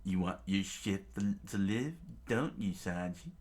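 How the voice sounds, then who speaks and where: A man's voice: low voice, lively intonation, unhurried, a little mellow. A man voicing a grim male pirate henchman who speaks in a cold, threatening way. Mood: cold threat.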